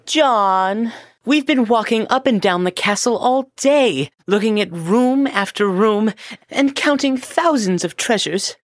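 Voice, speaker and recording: Woman doing a whiny young king for a kids story, highish lively voice, takes their time, stubborn. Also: takes it slowly, dry recording, studio quality. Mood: weary, curious. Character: young king, boyish, stubborn, lovestruck, childish. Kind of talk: children's audio story acting.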